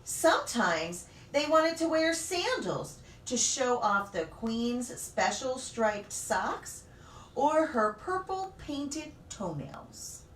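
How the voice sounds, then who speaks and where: Female storybook reader for preschoolers, very lively intonation, deliberate pauses; roomy, distant recording. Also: a little high. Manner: deliberate phrasing with dramatic pauses. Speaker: pastor reading children's stories. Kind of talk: expressive storybook read-aloud for preschoolers. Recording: roomy, distant mic with faint noise.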